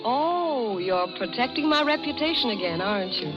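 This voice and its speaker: A woman's voice: a little high, animated, normal speed, natural voice. An actress playing a headstrong, flippant young society woman in an old-time radio drama. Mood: teasing. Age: young adult. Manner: flip, bantering, self-assured. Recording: dull vintage radio recording with music.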